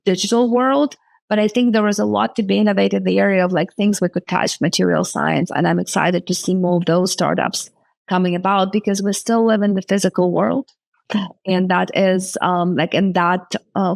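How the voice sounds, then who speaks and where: A woman's voice: medium pitch, creaky, no echo. A woman from the former Soviet Union, a venture capitalist guest, speaking thoughtfully with many fillers and restarts. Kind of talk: casual interview talk.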